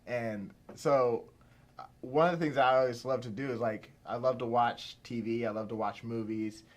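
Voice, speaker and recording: A young man from Pittsburgh talks casually as an interview guest, at a middle pitch for a man. He rambles, full of "like" and "you know", and often restarts his sentences. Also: steady tone, moderate pace. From Pittsburgh, USA. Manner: rambling, many fillers and restarts. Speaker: filmmaker guest.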